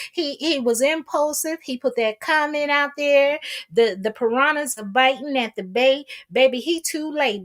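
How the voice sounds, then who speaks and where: A woman with a fairly high-pitched voice. She co-hosts a gossip show in a casual, animated style. Her delivery is sassy banter, peppered with terms of endearment. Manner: sassy, animated banter with repeated pet names. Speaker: talk show co-host.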